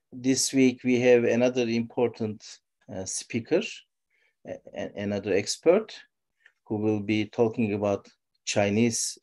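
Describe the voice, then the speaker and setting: A man's voice: medium pitch, dark tone. A man hosting an online seminar, reading a guest's bio with some stumbles. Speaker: seminar host. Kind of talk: reading a speaker introduction. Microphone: video call.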